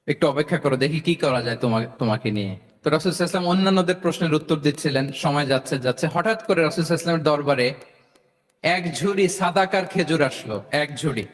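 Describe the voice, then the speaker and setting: A male Islamic preacher with a Bangladeshi accent speaks in a slightly high voice at a quick clip. His delivery is emphatic and engaging, built on repetition and rhetorical questions, with Arabic quotations and English words mixed in. Long hall reverb trails his words. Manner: emphatic, engaging, repeats phrases and quizzes listeners. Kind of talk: sermon to a live audience, storytelling with rhetorical questions.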